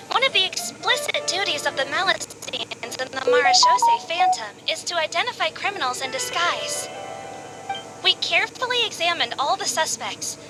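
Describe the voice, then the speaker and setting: A woman's voice: extremely high pitch, rough recording. A woman voicing a small, formal, matter-of-fact investigator creature in a video game. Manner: crisp, official, matter-of-fact. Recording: game capture with background music.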